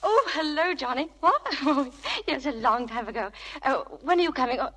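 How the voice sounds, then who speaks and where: An actress playing a shy, earnest, lovestruck young gentlewoman, hesitant and sincere, in 1940s radio drama style. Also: high-pitched, lively intonation, moderate pace. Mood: eager, then wistful.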